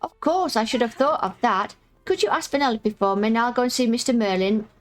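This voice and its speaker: Woman reading as a prim young girl, polite, formal, croaky, slightly high voice. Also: a little fast, soft background music, close to an everyday voice. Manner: proper, formal phrasing.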